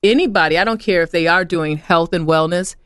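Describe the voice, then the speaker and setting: A middle-aged woman from Chicago, a travel business owner talking as a guest in a casual interview, animated and repeating herself as she goes. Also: lowish voice, fairly quick, smooth, dry recording, clean studio sound. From Chicago, USA. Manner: animated, repeats words and restarts often, laughs easily.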